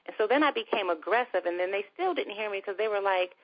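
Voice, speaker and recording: A woman with a soft voice, chatting as a guest in a casual conversation. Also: mid-range voice, lively intonation, fast talker. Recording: dull lo-fi recording.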